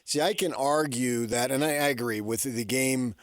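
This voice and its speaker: Man, sports radio host, medium pitch, dry acoustics, informal, short argumentative phrases. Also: moderate pace, no room sound. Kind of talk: conversational sports talk.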